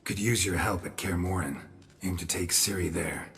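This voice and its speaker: An actor playing a stoic, dry-witted monster hunter in a video game, speaking in laconic, deadpan, clipped sentences. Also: male voice, low voice, normal speed, creaky, faint music behind. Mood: calm, resolute. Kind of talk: video game character acting.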